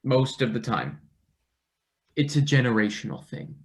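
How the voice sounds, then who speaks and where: A man's voice: mid-range voice, big pitch swings, measured pace. A man playing a nervous young college intern in a stage play reading, stammering, rambling and self-deprecating. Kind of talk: live table read of a satirical stage play.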